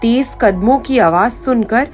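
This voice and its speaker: A woman narrating an animated folk story in a scripted storytelling style. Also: fairly high pitch, measured pace, soft background music.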